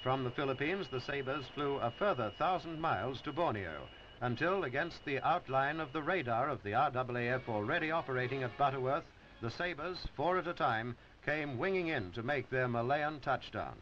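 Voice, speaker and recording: A man narrating a 1950s newsreel in a formal, measured announcer's delivery. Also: mid-range voice, lively intonation, a bit bright, a little hiss or hum. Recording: dull old newsreel film soundtrack.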